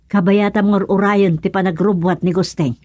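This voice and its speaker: A woman's voice: medium pitch, fairly even intonation, moderate pace, lightly acted. An actress playing a patient, motherly village woman in a radio comedy drama. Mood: patient, coaxing.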